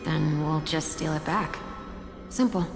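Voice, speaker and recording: A woman's voice: deep voice, a little slow. An actress playing a confident, unruffled female schemer in a video game, with a breezy, self-assured manner. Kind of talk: dramatic video game acting. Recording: echoey hall reverb, music behind.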